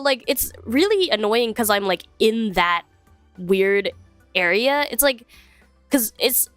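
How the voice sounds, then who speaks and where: A chatty young woman talks casually to her viewers, her voice a little congested from a cold but still smooth and clear. She is close to a dry microphone, with soft music playing underneath. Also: mid-range voice, no room sound. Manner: chatty, drifts between topics, frequent fillers. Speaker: streamer. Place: home. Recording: dry close mic, faint music behind.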